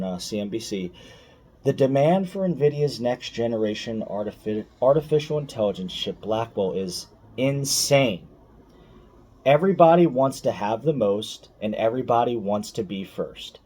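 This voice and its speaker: A man's voice: medium pitch, warm tone. A man giving casual, opinionated finance commentary for online viewers, speaking emphatically, repeating key points and addressing the listener directly.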